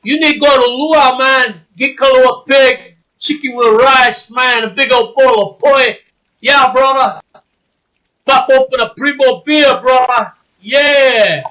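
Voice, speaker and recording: A man's voice: very high-pitched, takes it slowly, pushed and bright, heavily acted, rough recording. A man putting on the voice of a jovial Hawaiian-talking wrestling fan who calls everyone "brother" in pidgin-style slang. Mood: hyped.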